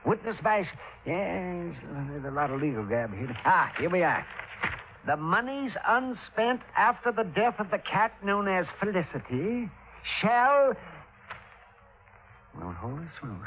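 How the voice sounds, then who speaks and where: A cantankerous old fellow in an old radio mystery. His high voice jumps around excitably with folksy spite. The recording is narrow, with a light hiss. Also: a little slow. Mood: fussy reading. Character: cantankerous, folksy old man, jilted suitor, gleefully spiteful. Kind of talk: 1950s radio acting. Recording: vintage 1950s radio, band-limited with hiss.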